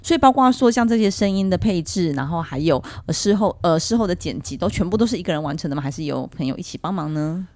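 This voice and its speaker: A woman's voice: on the low side, lively intonation, quick pace, hardly any pauses, darkish tone, dry recording. A woman with a Taiwanese Mandarin accent hosts a podcast interview in a chatty, wordy conversational style. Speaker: podcast host.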